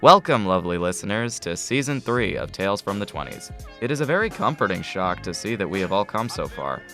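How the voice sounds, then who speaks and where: Gravelly, low-pitched male radio host. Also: big pitch swings, fairly quick, bright, forceful tone, faint music behind. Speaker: host, narrator. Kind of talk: scripted radio-host narration.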